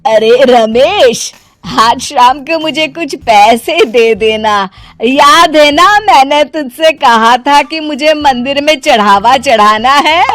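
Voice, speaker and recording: A woman voicing a demanding, irritable mother scolding her grown son in an animated moral story. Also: high-pitched, slow, long pauses, voice pushed up. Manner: scolding, indignant.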